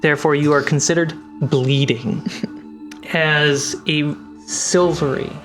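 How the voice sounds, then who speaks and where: A game master narrating a tabletop fantasy game in a measured, dramatic storyteller's way. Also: mid-range voice, steady tone. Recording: music behind the voice. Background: background music score.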